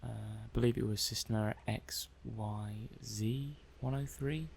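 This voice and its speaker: Guy playing a mild lab tech testing robots, asmr roleplay, lowish flat voice, mumbles hesitantly to himself. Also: unhurried, vocal fry, a little hiss or hum. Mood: matter-of-fact. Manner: hesitant, muttering to himself, matter-of-fact. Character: mild, methodical male robot-testing technician. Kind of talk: soft-paced ASMR roleplay monologue.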